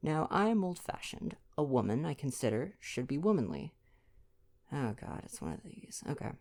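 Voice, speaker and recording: A young man doing an intimate ASMR boyfriend roleplay, chatting casually and reading aloud in a gentle, playful, affectionate way. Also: a little high, very animated, moderate pace, creaky. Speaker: ASMR roleplay performer and reader.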